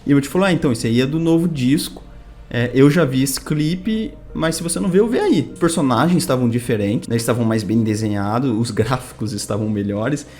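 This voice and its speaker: A young Brazilian man with a São Paulo state accent speaks casually to his viewers over background music. His mid-pitched voice moves through personal anecdotes in loose slang, slipping into quoted dialogue as he goes. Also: brisk. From Campinas, Brazil. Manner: informal, slangy, animated retelling with quoted dialogue. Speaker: video creator telling personal stories.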